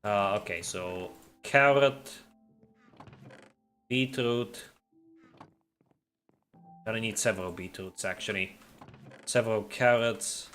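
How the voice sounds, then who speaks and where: A man's voice: on the low side, takes it slowly, long pauses, faint music behind. A man streaming a game and chatting casually with viewers, making his R sounds in the throat. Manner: relaxed, reads and answers chat while playing. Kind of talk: casual livestream chat.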